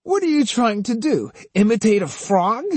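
A crafty old woman from a storybook, played by a male reader, pitched well up high. She is sly and sneering underneath, coating her words in wheedling, poignant sweetness. Her delivery swoops freely. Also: very animated, slow pace, dark tone, husky, no echo, heavily acted. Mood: snide, gloating. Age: older. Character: cunning, sneering old Japanese woman feigning gentle sincerity. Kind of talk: audiobook character voice.

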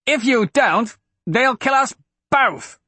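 A man's voice: very high-pitched, expressive, slow pace, bright, forceful tone, slightly rough, no echo, voice pushed up, full character voice. A man voicing a frightened, protective father and con man in a storybook, with urgent, pleading delivery. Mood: harsh, desperate.

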